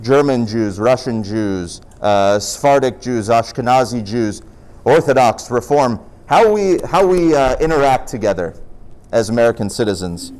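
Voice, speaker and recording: Animated male storyteller, lively pitch swings. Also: moderate pace. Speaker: speaker presenting folk tales. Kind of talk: storytelling talk with acted dialogue.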